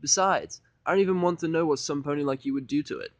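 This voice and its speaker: A man's voice: on the high side, fast, no room sound, voice pushed up, natural voice. A man playing an irritable, stubborn, sarcastic young student in a fan fiction audio drama, speaking bluntly and with exasperation. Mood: defiant, sarcastic.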